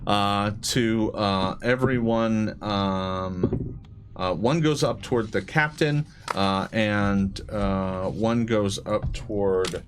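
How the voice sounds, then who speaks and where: A man with a fairly low voice acts as game master at a tabletop session. He improvises the narration and rules calls, often pausing as he works things out. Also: noisy background. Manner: halting, deliberating delivery.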